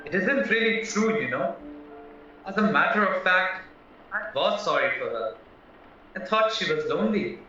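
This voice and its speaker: Man acting a flustered, high-voiced young suspect, echoey. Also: animated, normal speed, long pauses, sharp tone. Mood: earnest, candid. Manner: hesitant, earnest, protesting. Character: frank, flustered young married man accused of murder. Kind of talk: stage-style courtroom audio drama acting. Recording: echoey, distant, dull, music behind.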